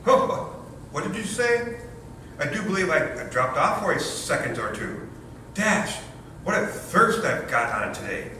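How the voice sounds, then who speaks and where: A man's voice: on the high side, sing-song, unhurried, sharp tone, full character voice. A man playing a bewildered, blustering new father in a stage reading of an Edwardian farce, exclaiming indignantly. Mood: groggy, puzzled. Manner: exclamatory, indignant, mildly swearing. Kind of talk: readers theater comedy acting. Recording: echoey room from far off, faint noise.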